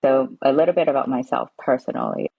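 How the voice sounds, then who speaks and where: A woman's voice: rumbling low, fast, gravelly, dry recording, narrow, tinny audio. A woman from San Francisco, a podcast guest, explaining her work in a casual, loose way with plenty of "kinda" and "like". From San Francisco, USA. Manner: explanatory, uses fillers like "kinda" and "like". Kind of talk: casual interview answers. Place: home or office, evening.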